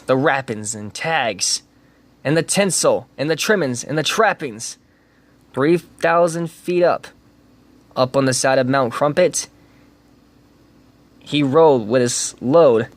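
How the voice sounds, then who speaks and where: A man's voice: mid-range voice, dry recording. Man giving a rhythmic storybook reading. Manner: rhythmic rhyming delivery with lively emphasis. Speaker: reader.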